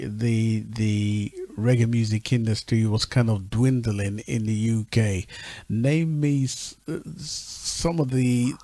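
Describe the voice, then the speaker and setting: A man hosting a talk show, asking measured, probing questions with some restarts. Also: medium pitch, darkish tone. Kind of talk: interviewing a guest.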